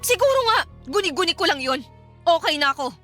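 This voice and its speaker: Frightened young woman, very high bright voice. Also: very animated, quick pace, faint music behind, full character voice. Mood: hurt, insistent. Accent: Filipino. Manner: anxious, tearful, emphatic. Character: frightened, insistent young woman living alone. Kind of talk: radio drama acting.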